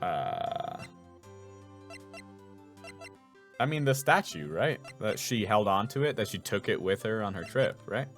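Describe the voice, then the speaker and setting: A man's voice: fairly low pitch, expressive, moderate pace, soft background music, pitched below the performer's own voice. A man voicing an earnest young male rookie lawyer in a video game, hesitant and eager.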